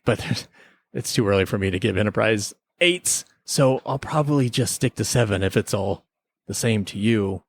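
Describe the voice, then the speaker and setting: A man's voice: fairly low pitch, moderate pace, no echo, studio quality. A man hosting a podcast, casually reviewing a TV episode with joking, mock-serious remarks. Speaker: podcast host.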